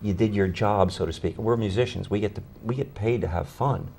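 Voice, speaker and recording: A man who plays bass in a tribute band, talking eagerly in an interview and often repeating words as he starts his sentences. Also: brisk, vocal fry, darkish tone, slight background noise. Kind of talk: interview conversation.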